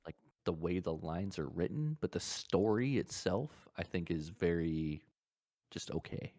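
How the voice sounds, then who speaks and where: A man's voice: deep voice, expressive, a little slow, warm tone, no echo, clean studio sound. A man co-hosting a casual gaming podcast, speaking bluntly and swearing freely. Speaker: podcast co-host.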